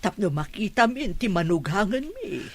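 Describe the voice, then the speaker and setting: An actress with an Ilocano accent playing a caring, eager rural mother in a radio drama, with a husky voice. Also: mid-range voice, very animated, moderate pace. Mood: caring, pleased.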